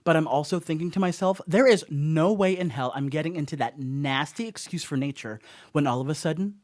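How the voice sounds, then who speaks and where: An actor playing a rattled, rambling stoner dog sitter in a radio play monologue, telling a story conversationally with wry asides. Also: male voice, a little high, brisk, natural voice. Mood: rambling, uneasy.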